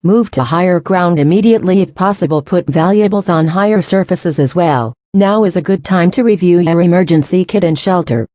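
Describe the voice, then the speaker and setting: Woman playing local news host, scripted broadcast, measured and serious, dark relaxed tone, very dry recording. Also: lowish voice, dry recording, natural voice. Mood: serious, composed. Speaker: news anchor.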